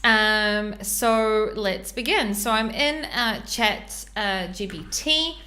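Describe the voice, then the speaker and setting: Bright-voiced New Zealand woman explaining. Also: medium pitch, slow pace. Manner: chatty, step-by-step explaining with frequent ums and uhs. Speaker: podcast host. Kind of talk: live screen-share tutorial.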